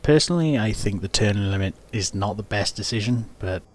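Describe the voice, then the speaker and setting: A man giving casual, plain-spoken game commentary, explaining his moves in an unhurried way. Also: lowish voice, animated. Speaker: gaming video host. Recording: faint background noise.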